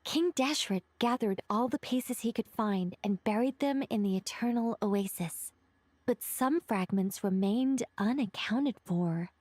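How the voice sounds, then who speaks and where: Game role: poised, enigmatic woman, higher register, deliberate and courteous, close dry studio sound. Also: darkish tone, no echo. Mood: explanatory. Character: calm, knowing, mysterious woman who reveals secrets. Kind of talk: video game voice acting, measured explanation.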